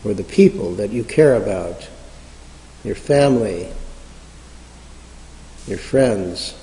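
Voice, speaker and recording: Mellow man leading prayerful meditation. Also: on the low side, expressive. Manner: slow, measured, reverent, long pauses. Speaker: meditation leader. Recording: reverberant hall sound.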